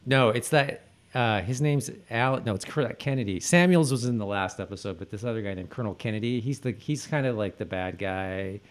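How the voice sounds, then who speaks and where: A man's voice: lowish voice, expressive. A man co-hosting a podcast in casual chat, rambling and often correcting himself mid-sentence. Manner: rambling, halting, self-correcting. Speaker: podcast co-host. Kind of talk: casual chat about a TV episode.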